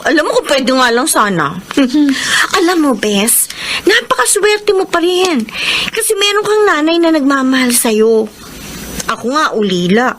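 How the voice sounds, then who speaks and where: Young Filipino best friend, high-pitched, frank and caring radio-drama delivery, faint noise behind. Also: female voice. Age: young adult. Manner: chiding, earnest advice-giving.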